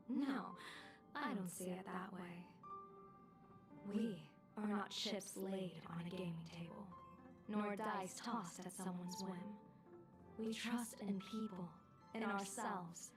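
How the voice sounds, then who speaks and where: An actress playing a calm, resolute fortune-telling strategist in a game, speaking in measured, formal lines. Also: female voice, mid-range voice, far from the mic, natural voice. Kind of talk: scripted game dialogue.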